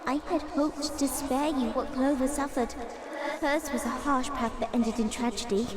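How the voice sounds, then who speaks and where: A woman's voice: high-pitched, steady tone, normal speed, close to an everyday voice. A woman playing a dying magical tree seed spirit in a fantasy audio drama, speaking in a formal, grave, earnest way.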